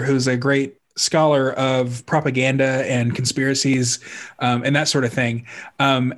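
A man hosting a podcast interview, halting and heartfelt, with many restarts. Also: mid-range voice. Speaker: podcast host.

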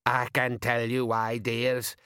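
A man's voice: medium pitch, completely flat delivery, slow pace, sharp tone, clean tone, no echo, clean studio sound. A man voicing a toothless, cackling, very old village woman who teases the young women. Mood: teasing. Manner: cackling, teasing.

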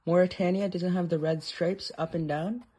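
A speaker with a slight lisp giving a casual, unscripted show-and-tell in a halting, self-correcting way. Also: medium pitch, steady tone, mellow, slightly rough. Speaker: presenter showing an old atlas.

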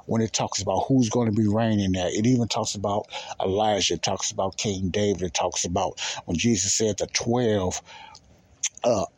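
A man's voice: lowish voice, steady tone, normal speed, darkish tone, breathy edge, no echo. A man giving informal, emphatic Bible teaching as a podcast host, repeating key phrases and posing rhetorical questions.

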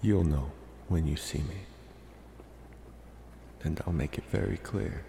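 Deep gravelly vampire suitor played by a man, echoing. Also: warm tone, a little hiss or hum, heavily acted. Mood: calm, reassuring. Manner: slow, reassuring, hesitant with deliberate pauses. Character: soft-spoken male college-age vampire, politely infatuated, mysterious. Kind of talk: ASMR roleplay.

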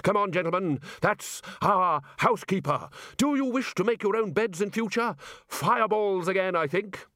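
A man doing a booming bluff old wizard chief. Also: lively intonation, normal speed, pushed and bright, no room sound, clean studio sound. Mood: brisk. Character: brisk hearty old male archchancellor wizard.

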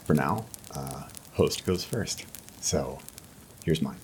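A man's voice: rumbling low, animated, slow, slight background noise. A man hosting and telling a personal story in a casual, conversational storytelling style. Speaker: host and storyteller.

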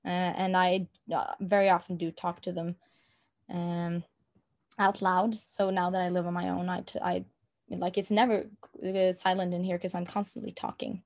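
Young norwegian woman, low flat voice, lots of ums and false starts. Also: normal speed. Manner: hesitant, many fillers and restarts. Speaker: interviewee. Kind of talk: casual interview talk. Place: room at home.

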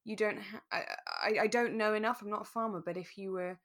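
A woman, chatting casually and in a relaxed way as a podcast co-host. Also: mid-range voice, brisk. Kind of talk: relaxed casual chat about a radio drama.

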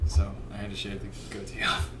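A man's voice: lowish voice, monotone, brisk, background noise. A young man hosting a casual podcast, talking loosely with lots of fillers and jokes. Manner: loose and joking, many fillers. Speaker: podcast host.

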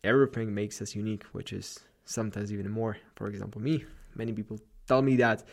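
A man's voice: fairly low pitch, fairly even intonation, fast, gravelly. A man with a Slavic accent hosting a solo podcast, giving a loose, rambling pep talk late at night in his apartment. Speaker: podcast host. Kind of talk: solo motivational podcast monologue.

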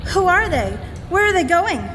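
A woman's voice: extremely high pitch, very animated, moderate pace, a bit bright, a little hiss or hum, exaggerated voice. A woman playing a concerned, eager companion of a detective in a live radio drama. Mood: anxious. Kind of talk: live old-time radio crime drama acting. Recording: live stage in echoey hall.

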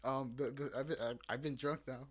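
A man's voice: medium pitch, fairly even intonation, fairly quick. A young man hosting a podcast, chatting casually, rambling and swearing with lots of fillers. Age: young adult. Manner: rambling, swears a lot, many fillers and restarts. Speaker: podcast host. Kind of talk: casual chat.

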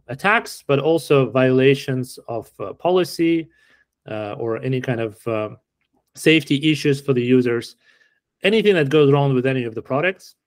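A middle-aged man from Ukraine answering interview questions in a relaxed, explanatory way with frequent fillers. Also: mid-range voice, dry recording. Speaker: podcast guest.

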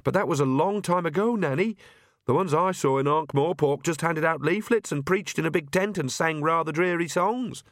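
A sensible young witch who talks reasonably and mildly, voiced by a man. The voice sits higher than his own and is recorded close and dry. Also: normal speed, no room sound, lightly acted. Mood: reasonable.

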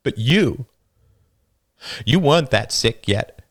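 A man's voice: medium pitch, lively intonation, slow, husky, voice pushed up, heavily acted. A man voicing a stoic older teenage boy whose voice cracks as he pushes his words out, tense and raised. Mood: pained.

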